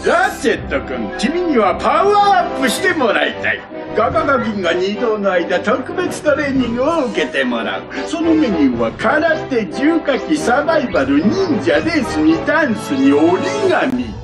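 Pompous, excitable old tycoon, swooping pitch. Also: male voice, moderate pace, music in the background, full character voice. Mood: grand, persuasive. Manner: pompous, stammering, piles up synonyms. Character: pompous excitable elderly male company founder. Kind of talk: Japanese video game dub acting.

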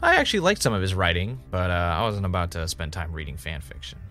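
A man acts out a jaded ex-winner of a reality show, now a podcaster in an audio drama. He is blunt and world-weary, with a fairly low voice over a music bed. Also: very animated, fast, pushed and bright, exaggerated voice. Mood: reminiscing. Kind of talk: audio drama dialogue and confessional narration.

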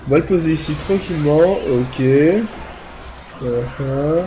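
A man's voice: on the high side, measured pace, mellow. A young man, congested from a cold so he sounds nasal, casually commentating his own mobile gameplay as he thinks aloud. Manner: chatty, thinks aloud while playing. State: congested, talking through the nose from a cold. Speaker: gaming youtuber.

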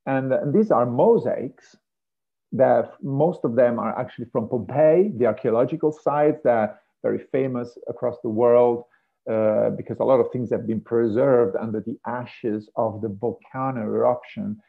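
Gravelly Italian man lecturing over a thin line. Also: mid-range voice, moderate pace. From Italy, Rome. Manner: hesitant, many fillers and restarts. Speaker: marine biology professor. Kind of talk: online lecture. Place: home room with a piano. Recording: gravelly, tinny video call audio.

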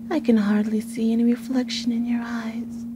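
A woman voicing a possessive, playfully menacing female snake monster in an ASMR roleplay, breathy and often slipping into a whisper. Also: on the high side, background noise. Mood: teasing menace. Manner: slow, teasing, giggling menace. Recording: music under the voice. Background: music.

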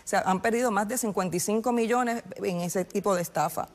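A woman's voice: mid-range voice, fairly quick, in a large hall. A woman, a federal agent explaining things in a TV studio interview with lots of restarts and fillers. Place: TV news studio.

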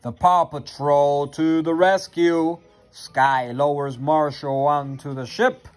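An expressive reader with a sing-song delivery, reading a children's picture book aloud into a phone at home. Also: medium pitch, takes it slowly. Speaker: storybook reader. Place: carpeted playroom at home. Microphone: handheld phone near the reader.

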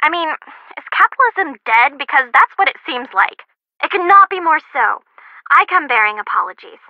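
A cheeky student sidekick in a detective game. Her high, theatrical voice swings widely in pitch, breezy and wry, through a dull phone filter. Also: big pitch swings, moderate pace, no room sound. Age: young adult. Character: chatty, cheeky young female criminology student sidekick. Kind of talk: video game character acting over the phone. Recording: muffled phone-like sound.

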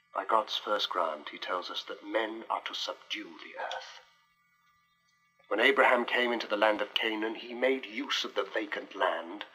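A man's voice: lowish voice, pushed and bright, slightly rough, exaggerated voice, low-quality recording. An actor playing a stern, domineering Puritan father in a period film, commanding and archaic in speech. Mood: solemn, instructing. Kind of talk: period drama film acting. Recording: old educational film soundtrack with music.

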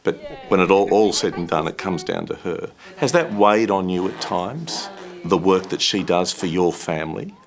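A man's voice: deep voice, expressive, moderate pace, creaky. A man, asking interview questions in a chatty, affable way.

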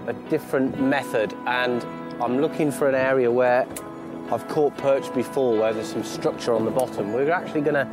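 An English man hosting a fishing show, chatting with excitement from a small boat on a river outdoors. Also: mid-range voice, moderate pace, music in the background. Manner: enthusiastic, chatty. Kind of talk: outdoor fishing chat and piece to camera.

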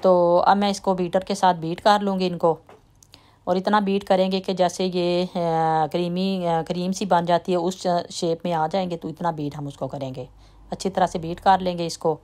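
Soft-spoken woman with low voice, chatty baking vlog. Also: moderate pace. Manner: relaxed, chatty, trails off softly at sentence ends. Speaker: home cooking vlogger. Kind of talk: casual step-by-step baking talk.

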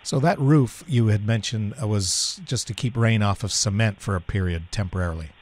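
A man's voice: on the low side, normal speed, a little mellow. A man with a Canadian accent, a local news interviewer asking brief conversational questions.

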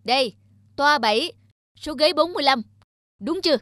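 Brusque, irritable young cartoon passenger. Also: male voice, extremely high pitch, lively intonation, moderate pace, sharp tone, dry recording, clean studio sound, exaggerated voice. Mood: irritated, arguing. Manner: brusque, argumentative. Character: irritable, self-important young male train passenger. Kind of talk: cartoon character acting.

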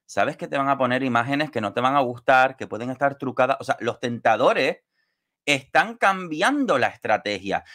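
Guy in his 30s, mid pitched voice, wordy gossipy chat about reality tv on a call. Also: a bit bright. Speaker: online show co-host and commentator. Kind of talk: casual reality-show commentary. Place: small home room, on a video call.

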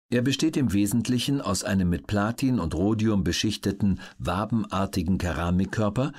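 A man reading scripted educational narration as an audiobook narrator, measured and factual. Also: fairly low pitch, a little mellow, breathy edge.

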